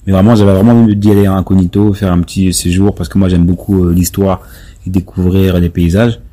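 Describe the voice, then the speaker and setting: A man in his thirties, born in France, answering interview questions as a member of parliament, halting with many fillers. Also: low-pitched, flat delivery, warm tone. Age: 30s. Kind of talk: casual interview talk.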